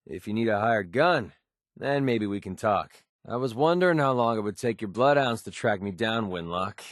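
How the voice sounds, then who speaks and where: A man playing a young, wisecracking, cynical mercenary with a soft side in a video game, speaking in a wry, colloquial way. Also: on the low side, lively intonation, moderate pace, dry recording, studio quality, lightly acted. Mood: teasing, brash, then defiant. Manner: wry, sarcastic, colloquial delivery. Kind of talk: scripted video game companion dialogue.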